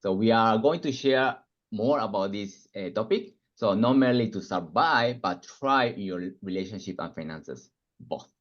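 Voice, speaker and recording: A man's voice: on the low side, animated, normal speed. Guy with a spanish-japanese accent on a webinar call, earnest, kinda muffled audio. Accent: mixed Spanish and Japanese. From Argentina and Japan. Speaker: financial coach, webinar speaker. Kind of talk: webinar self-introduction and commentary.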